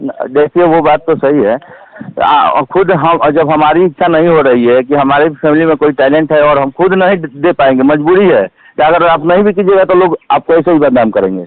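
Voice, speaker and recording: A man being interviewed, speaking in a halting, repetitive, emphatic way. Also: on the high side, no echo. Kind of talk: phone interview. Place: phone call. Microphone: telephone. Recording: tinny phone call recording.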